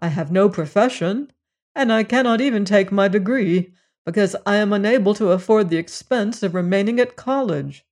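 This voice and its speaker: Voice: medium pitch, moderate pace, a little mellow, natural voice. A reader voicing an earnest young man who pleads his case with strained restraint. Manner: earnest, restrained, then defiant.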